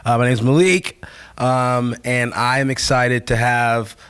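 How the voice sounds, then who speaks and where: A man's voice: medium pitch, flat delivery, unhurried, fairly bright tone, smooth. Chatty laid-back male podcast host. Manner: chatty, laid-back, lots of fillers like "like" and "you know". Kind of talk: casual interview chat.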